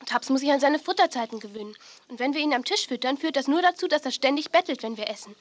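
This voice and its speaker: A woman's voice: high-pitched, animated, fast talker. A woman playing a caring, sensible young dog owner in a children's audio play, speaking earnestly. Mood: concerned. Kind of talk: 1980s German children's audio play acting. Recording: faint noise, old cassette audio play.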